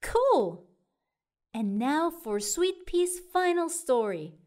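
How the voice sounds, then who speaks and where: A woman narrating children's storybooks in an expressive way aimed at young listeners. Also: high voice, very slow. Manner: expressive, child-directed delivery. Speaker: storyteller and show host.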